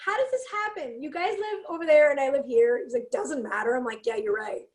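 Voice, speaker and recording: A woman from Canada with a raspy, fairly deep voice, telling stories in a casual chat and acting out the lines she quotes. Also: fairly quick, roomy sound. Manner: animated, acts out quotes, laughs often. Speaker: podcast guest, singer and speaker.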